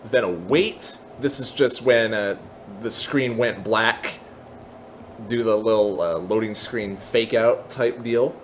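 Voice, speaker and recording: A man giving an unscripted software tutorial, explaining casually step by step and thinking aloud as he goes. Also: lowish voice, slow, slight background noise. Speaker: tutorial presenter.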